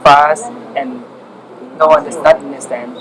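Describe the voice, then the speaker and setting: A young student from Thailand speaking halting English, a bit raised in pitch. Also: steady tone. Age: young adult. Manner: halting non-native English. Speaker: exchange student. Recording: distant from mic, noisy.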